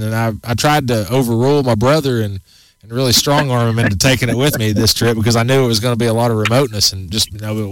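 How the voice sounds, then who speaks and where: A man's voice: medium pitch, a bit bright, dry recording, poor audio. Man in his forties, folksy radio host, rambling chat, dry close studio sound. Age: 40s. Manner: rambling, folksy, many restarts. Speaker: outdoors show host.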